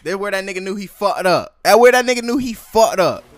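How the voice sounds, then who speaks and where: Very high-pitched, excitable man gamer. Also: brisk. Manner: excitable, laughing, repeats phrases. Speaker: gamer in online role-play. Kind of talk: excited in-game banter.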